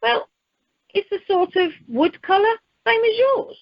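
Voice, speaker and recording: A woman's voice: very high-pitched, unhurried, vocal fry, dry recording, exaggerated voice. A woman playing an officious, slightly scatty airport check-in clerk in amateur radio comedy. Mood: chatty. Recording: muffled, dry telephone conference line.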